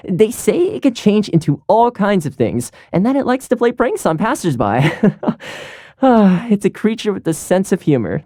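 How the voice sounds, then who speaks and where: A kind young male game character, a polite and caring housekeeper. His delivery is easygoing and earnest, with a soft, mellow tone and lively, swinging intonation. The sound is dry and close. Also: high voice, dry recording. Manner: warm, easygoing, chatty, earnest. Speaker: video game voice actor. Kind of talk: scripted game character voice lines.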